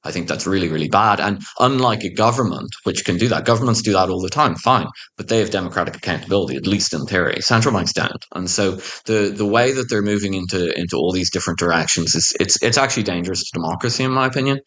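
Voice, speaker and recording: A man with a lowish voice makes his case on a panel, blunt and sure of himself. He pushes his points hard. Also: fast talker, few pauses, a bit bright. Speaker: panel guest, economist. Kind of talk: panel discussion.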